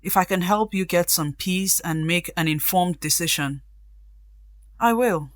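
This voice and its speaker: A woman's voice: fairly low pitch, unhurried, no echo, studio quality, close to an everyday voice. A woman playing a self-assured, helpful friend in a radio drama, talking in a composed, conversational way.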